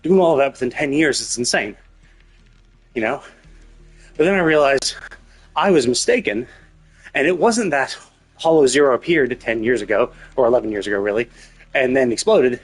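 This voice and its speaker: A man's voice: medium pitch, animated, moderate pace, long pauses, husky. A man streaming a game with casual, rambling commentary and some swearing, explaining things as he thinks aloud. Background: game music.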